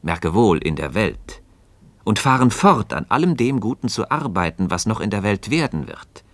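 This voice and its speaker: Cryptic philosopher, low voice, deliberate emphasis, classical radio drama, dry studio sound. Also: male voice, sing-song, no echo. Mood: grave, secretive. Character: enigmatic, measured man hinting at secrets to a friend. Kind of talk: German radio play, classical philosophical dialogue.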